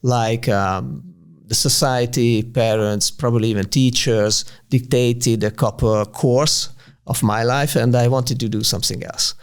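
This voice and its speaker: A man with a Hungarian accent interviewing casually, hesitant and halting as he searches for words. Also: mid-range voice, steady tone, clear, resonant tone.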